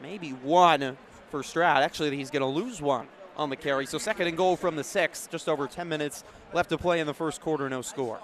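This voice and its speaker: A man doing live radio play-by-play, moving between measured setup and urgent calls. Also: fairly high pitch, fairly bright tone. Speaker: play-by-play sports announcer. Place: outdoor stadium broadcast position. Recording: background noise of an outdoor stadium.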